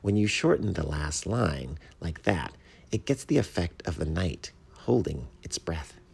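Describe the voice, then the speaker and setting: A man's voice: deep voice, huge pitch swings, unhurried, gravelly, slightly rough, heavily acted. A man voicing a self-important but courteous mockingbird, a pedantic poetry critic in a storybook. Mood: kindly lecturing. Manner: pompous, polite, pedantic.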